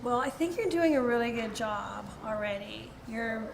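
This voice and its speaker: Woman, cast member interviewed, chatty, friendly, fairly high voice. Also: expressive, moderate pace, gravelly, a little hiss or hum. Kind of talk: casual interview chat.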